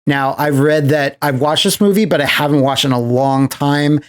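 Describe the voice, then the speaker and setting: A man co-hosting a podcast, casually discussing movies in a halting way, often repeating phrases as he explains. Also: fairly high pitch, steady tone. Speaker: podcast co-host.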